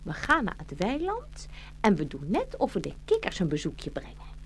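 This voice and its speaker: A woman playing a motherly stork in a children's fairy-tale radio play, brisk and animated, scolding and coaxing by turns. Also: mid-range voice, slight background noise. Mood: coaxing, playful.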